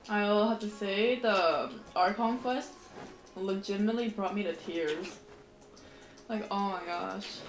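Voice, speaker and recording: A woman's voice: mid-range voice, unhurried. Echoey woman streamer reacting casually. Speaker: game streamer. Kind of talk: casual live reaction commentary. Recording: echoey room, faint game music.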